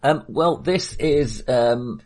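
A man's voice: medium pitch, takes it slowly, a little mellow. A middle-aged British man in casual film discussion as a podcast co-host, often hesitant and searching for words.

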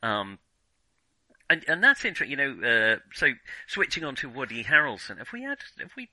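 A man in a casual film discussion, thinking aloud haltingly with many false starts. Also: medium pitch, wildly swooping pitch, sharp tone, no echo. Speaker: film podcast host.

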